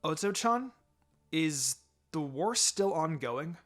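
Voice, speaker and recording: A man voicing an eager, excitable four-year-old ninja girl in a story reading. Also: on the high side, slow. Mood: curious, hesitant.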